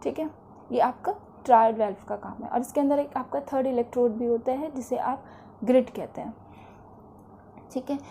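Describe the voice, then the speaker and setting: A woman's voice: on the high side, dark tone, slight background noise. A woman with an Indian accent explains material as a lecturer, in a didactic way with short check-in questions. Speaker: physiotherapy lecturer.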